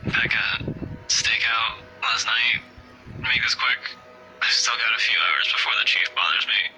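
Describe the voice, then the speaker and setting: A man's voice: mid-range voice, steady tone, unhurried, sharp tone, faint music behind, poor audio. A man playing a sleepy, grumpy young policeman in a visual novel, muttering with sarcasm and evasion. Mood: groggy, irritated.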